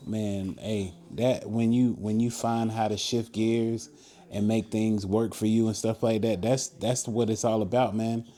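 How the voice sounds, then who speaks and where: A man's voice: fairly low pitch, little pitch movement, a little slow, mellow. A man hosting a podcast interview in a casual, easygoing way, often laughing and filling with stock phrases. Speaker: podcast host.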